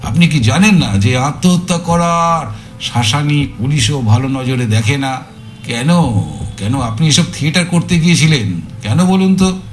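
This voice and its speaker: A man playing a talkative amateur sleuth in a dramatised audio story, emphatic and theatrical, repeating his words as he teases and coaxes. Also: a little high. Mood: scolding, probing.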